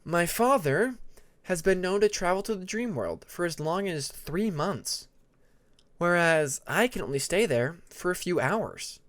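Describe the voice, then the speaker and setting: A man voicing a patient, earnest young female dragon who explains her magic in a measured way in an audiobook. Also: high voice, expressive, a bit bright, pitched above the performer's own voice.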